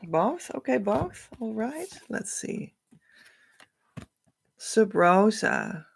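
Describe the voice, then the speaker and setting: A middle-aged woman whose first language is Dutch, giving an oracle card reading as a spiritual coach, speaking to camera in a slow, musing way. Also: medium pitch, very animated, creaky, slightly rough. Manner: slow, musing, drifts between soft and louder emphasis.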